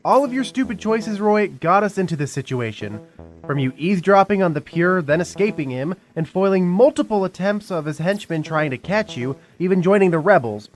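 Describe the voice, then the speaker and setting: A man's voice: sits high, expressive, a little fast, faint music behind. An actor playing an exasperated, worried male sidekick in a comic radio drama, earnest and scolding. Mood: nervous scolding.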